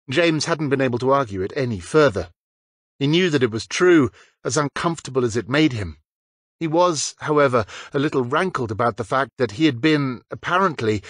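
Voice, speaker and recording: Husky male audiobook narrator, dry sound. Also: mid-range voice, a bit bright, no echo. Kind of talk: scripted fiction narration.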